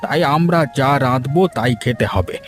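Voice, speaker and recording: A man's voice: medium pitch, moderate pace, music in the background, lightly acted. A man voicing a hospitable, elderly village grandfather in a cartoon, with a kindly, folksy way of talking. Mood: warm, insistent. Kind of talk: cartoon voice acting.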